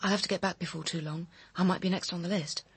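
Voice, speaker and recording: Low, husky, commanding woman. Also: steady tone, fast talker, dry recording. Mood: guarded, urgent. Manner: insistent, controlled, persuasive. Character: cool, commanding, manipulative woman with a secret, coaching her lover. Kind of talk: radio drama acting.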